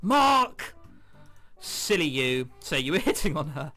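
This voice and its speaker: A man's voice: fairly high pitch, big pitch swings, slow pace, sharp tone, soft background music, heavily acted. A man voicing a teasing, insistent young female student from a visual novel. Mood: pushy teasing. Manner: playful, insistent. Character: teasing, pushy young female student, mock lie detector. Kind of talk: visual novel character reading.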